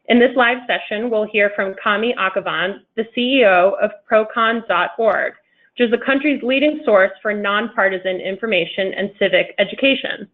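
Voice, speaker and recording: A woman's voice: mid-range voice, moderate pace. A woman hosting a webinar, giving a polished scripted introduction of the speaker. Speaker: webinar host. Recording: tinny phone-like web call audio.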